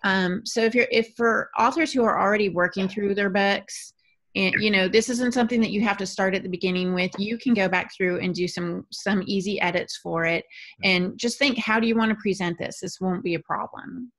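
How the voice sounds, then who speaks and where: Woman giving encouraging advice, medium pitch. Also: steady tone, brisk, fairly bright tone. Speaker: podcast panelist. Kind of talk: conversational podcast advice.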